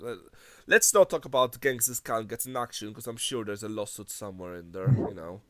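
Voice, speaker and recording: A man's voice: lowish voice, fairly quick. A man from Poland co-hosting a casual podcast chat, rambling with lots of "um" and "you know" and trailing off into mutters. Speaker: podcast co-host.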